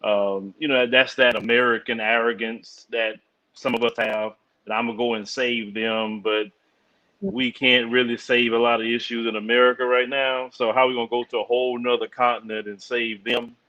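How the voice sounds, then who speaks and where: Male caller, courteous and halting, bright forceful voice, muffled lo-fi call audio. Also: medium pitch, flat delivery. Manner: polite, hesitant, many restarts and fillers. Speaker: caller, fellow channel host. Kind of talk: call-in conversation.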